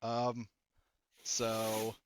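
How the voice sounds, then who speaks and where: A man's voice: on the low side, completely flat delivery, extremely slow. A man running a tabletop game as game master, talking casually to his players in an informal, sweary, rambling way.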